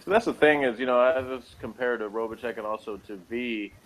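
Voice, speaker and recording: A man's voice: mid-range voice, a little fast, poor audio. A man chatting casually as co-host of a TV review show, with a sarcastic, mocking way of putting things down. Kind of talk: casual chat reviewing a sci-fi TV episode.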